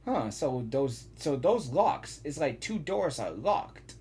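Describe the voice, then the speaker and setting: A woman's voice: deep voice, very animated, slow pace, warm tone, slight background noise. A woman giving casual reaction commentary on a video game as she plays, often repeating her phrases. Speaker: reaction streamer.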